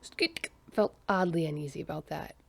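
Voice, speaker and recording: A woman's voice: sits low, normal speed, gravelly, a little hiss or hum. A woman narrating a science fiction story as the author-reader. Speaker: audiobook narrator.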